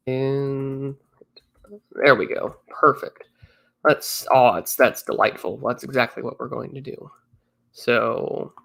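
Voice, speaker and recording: A man's voice: medium pitch, gravelly, a bit bright. A man in his twenties on a casual livestream, chatting and answering viewers, still a little congested. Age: 20s. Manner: chatty, reads chat messages aloud. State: slightly congested. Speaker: streamer.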